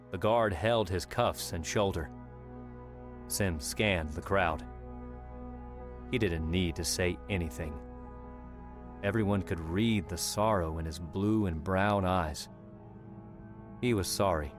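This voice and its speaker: A man narrating an audiobook in a measured, dramatic storytelling manner. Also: low voice. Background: background music.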